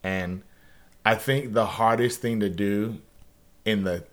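A man's voice: fairly low pitch, takes it slowly, a bit bright. A man on a podcast, talking earnestly about marriage in a rambling way, often restarting his sentences. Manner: earnest, rambling, self-correcting. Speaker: podcast guest. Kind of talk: casual podcast conversation.

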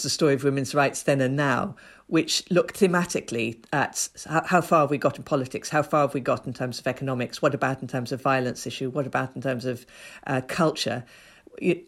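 A woman from Ethiopia, a women's rights activist, giving earnest, measured interview answers that build up points in lists. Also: low voice.

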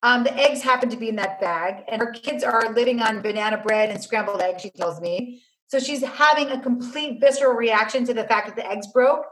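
A woman's voice: a little fast, fairly bright tone, low-quality recording. Earnest woman laying out numbered advice. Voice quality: low-voiced by her own account. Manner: earnest, lays out numbered steps, tells anecdotes. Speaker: author and family mediator. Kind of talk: conversational guest explaining advice.

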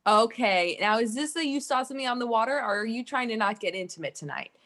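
A woman's voice: on the high side, lively intonation, fast, fairly bright tone. A woman playing an exasperated, nagging wife and mother in an improv comedy sketch. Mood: suspicious.

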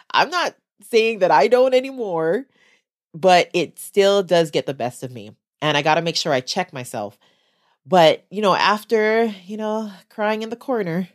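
Low-voiced woman podcaster, kinda stuffed up from the flu, chatty and encouraging to other teachers. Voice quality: lower, congested voice. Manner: chatty, encouraging, direct to listeners. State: stuffed up, getting over the flu. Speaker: podcast host and special education teacher. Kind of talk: solo podcast talk.

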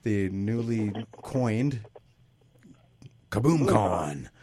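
A man's voice: on the low side, expressive, very slow, warm tone, slight background noise. A man hosting a live broadcast in a loose, chatty way, laughing often and reading out news with plenty of fillers. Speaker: host. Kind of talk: casual live broadcast chat.

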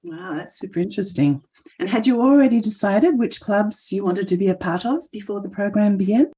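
Warm, husky-voiced woman host. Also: medium pitch, fairly quick, rough recording. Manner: smooth read promotional delivery. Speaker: podcast host. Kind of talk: scripted narration. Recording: faint music behind voice.

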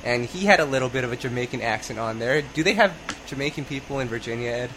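Podcast host: American male, medium pitch, easygoing, teasing chat, slight noise in the background. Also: fast.

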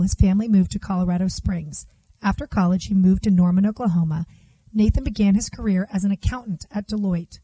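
A woman's voice: medium pitch, dark tone, slightly rough, no room sound, poor audio. A woman co-hosting a podcast, reading a guest introduction before moving into chatty interview talk. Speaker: podcast co-host. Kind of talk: scripted bio introduction then casual chat.

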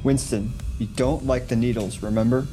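A man's voice: medium pitch, fairly even intonation, normal speed, warm tone, faint music behind, lightly acted. Frazzled male scientist, acted by a man. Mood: nervous coaxing. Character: frazzled, groggy male scientist in his forties, sweary. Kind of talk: screenplay table read.

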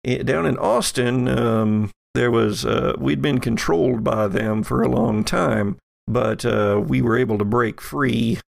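A man's voice: mid-range voice, vocal fry. A man voicing a polite, folksy doppelganger in a tabletop game, rambling and hesitant as he goes. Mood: hesitant, explaining. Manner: halting, rambling, folksy, apologetic. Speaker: game master.

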